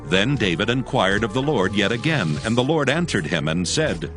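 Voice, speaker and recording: Low-voiced man narrating scripture over music. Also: expressive, normal speed.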